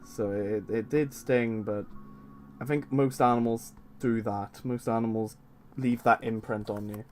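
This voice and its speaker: A man's voice: mid-range voice, steady tone, unhurried, a little mellow, slight background noise. A man in his twenties chatting casually on a stream, rambling with many fillers and restarts. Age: 20s. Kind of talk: casual chat.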